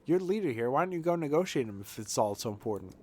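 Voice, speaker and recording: A man voicing a weary, reproachful doctor in a video game, speaking in a measured, stern way. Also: medium pitch, sing-song, warm tone, pitched below the performer's own voice. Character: weary, reproachful male doctor, adult, reasoning with children. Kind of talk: video game dialogue read aloud.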